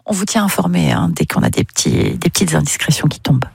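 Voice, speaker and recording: A woman's voice: sits low, expressive, fast talker, gravelly. A woman hosting a radio show, chatting casually with a caller in a friendly, informal and enthusiastic way. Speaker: radio host.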